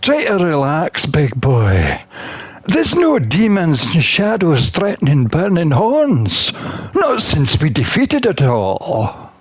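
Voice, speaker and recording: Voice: mid-range voice, huge pitch swings, slow pace, husky, no room sound, exaggerated voice. A gamer voicing a sarcastic, flirtatious male elf from a fantasy game in a teasing drawl. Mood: teasing, flirty. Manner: teasing, drawling, passive-aggressive.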